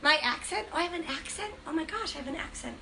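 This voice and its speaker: A woman's voice: sits high, expressive, quick pace, creaky, pushed and bright, slight background noise. A woman from Western Massachusetts demonstrating furniture finishing on a livestream, chatty and enthusiastic, a bit congested from a cold. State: has a cold. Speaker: furniture painting host. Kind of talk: casual livestream demo.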